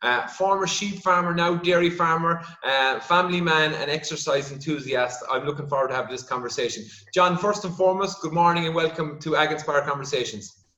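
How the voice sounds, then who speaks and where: A man's voice: on the high side, brisk, bright, forceful tone. Irish man hosting in an echoey room. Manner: hesitant, repeats words while building questions. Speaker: podcast host, vet. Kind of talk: conversational interview.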